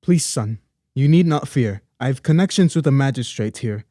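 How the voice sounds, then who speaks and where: Young man acting as grieving paternal older man, radio play, formal speech, soft dark tone, dry recording. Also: mid-range voice, expressive, moderate pace, no room sound, natural voice. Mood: grave, consoling. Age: young adult. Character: Victor's grieving, protective, dignified older father.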